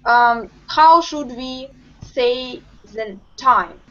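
Slow, high-voiced young woman teacher. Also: bright, forceful tone. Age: young adult. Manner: slow, patient, encouraging questions. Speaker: English teacher. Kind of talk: online group language lesson. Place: home room with bookshelves. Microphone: webcam on a video call. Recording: muffled video call audio.

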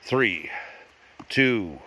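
A man's voice: on the low side, animated, very slow, a crowd in the background, rough recording. Joking man vlogging a forest hike. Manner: joking, encouraging, talks to the camera while hiking. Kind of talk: casual vlog chat. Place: outdoors on a forest trail.

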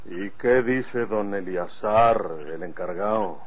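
An actor playing a proud, guarded rural bandit in an old radio drama, blunt and measured, with a northern Mexican accent. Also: male voice, lowish voice. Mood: wary.

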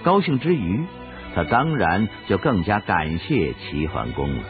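A man's voice: sits low, sing-song, a little slow. A man narrating a historical radio drama as a scripted, dramatic storyteller. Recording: dull recording with music behind. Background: music.

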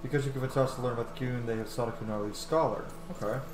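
A man's voice: mid-range voice, fairly even intonation, very fast. Roomy-sounding man commentating on a game. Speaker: game streamer. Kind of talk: casual let's play commentary.

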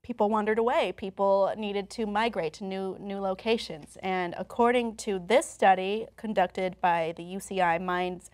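A woman's voice: medium pitch, fairly even intonation, moderate pace, few pauses, a little mellow. A young woman presenting conversationally from notes as co-host of a talk show, recorded in a studio. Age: young adult. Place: TV-style studio, indoors.

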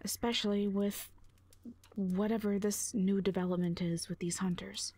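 A woman's voice: medium pitch, steady tone, moderate pace, a little mellow, close to an everyday voice. A woman playing a blunt, protective warrior, sardonic but caring, in an audio roleplay drama. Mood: firm concern. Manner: blunt, dry, foul-mouthed.

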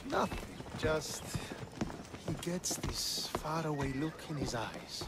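An actor playing a proud, loyal young Spartan officer in a video game, formal and commanding. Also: male voice, a little high, expressive, slow pace, heavy background noise. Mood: hesitant, reflective. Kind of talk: dramatic video game acting.

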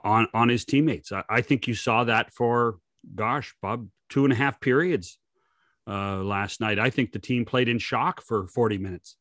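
An older North American man co-hosts a casual sports podcast in a fairly low voice. He repeats words for emphasis and is recorded close and dry on a desk microphone. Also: no room sound. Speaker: podcast co-host. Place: home room on a video call.

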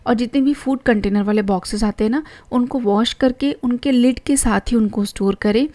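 Young woman from India, chatty step-by-step home-tips voice-over, slightly high and mellow. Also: moderate pace. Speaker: home vlogger. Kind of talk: voice-over narration of a cleaning vlog.

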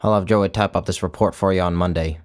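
A man's voice: sits low, steady tone, fast, a little mellow, natural voice. A man doing an offhand, folksy inspector. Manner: casual, offhand, mumbling.

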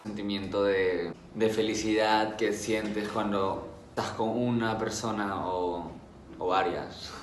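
A man's voice: lowish voice, steady tone, measured pace, reverberant, far from the mic, a little hiss or hum. A young man from Peru, answering interview questions shyly. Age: 20s. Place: indoors in a room.

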